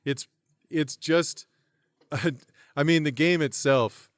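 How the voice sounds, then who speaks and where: A man co-hosting a podcast in casual chat, laid-back and quick to agree. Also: fairly high pitch, no echo, studio quality. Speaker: podcast co-host.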